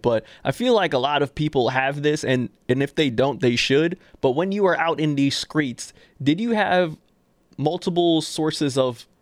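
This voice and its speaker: A man's voice: mid-range voice, dry recording. A man co-hosting a podcast, chatting casually in a playful, boastful, teasing way. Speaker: podcast co-host. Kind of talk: casual banter.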